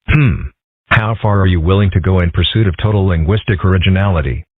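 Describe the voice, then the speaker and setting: A man voicing dry, understated nerdy schoolboys in an amateur comic-strip dub, with a husky voice. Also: sits low, a little fast, no room sound. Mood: dry, mild. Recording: muffled, phone-like lo-fi audio.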